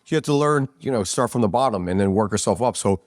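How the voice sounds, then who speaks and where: A man's voice: lowish voice, lively intonation, fast talker, dry recording, clean studio sound. A man in his forties from New Jersey, a podcast guest telling rambling, profane stories in a casual chat at a pizzeria. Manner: rambling, profane, street-talking. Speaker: podcast guest, business owner.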